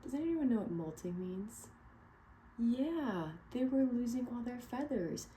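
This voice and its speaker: A woman reading a picture book aloud to children as a teacher, lively and encouraging, stopping to ask them questions. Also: on the high side, unhurried, a little hiss or hum.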